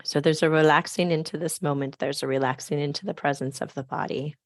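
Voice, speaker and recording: A woman with a scratchy, raspy voice, softly leading a slow grounding meditation on a video call. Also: low voice, steady tone, dry recording, clean studio sound. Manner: soft, slow, gently inviting. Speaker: program facilitator.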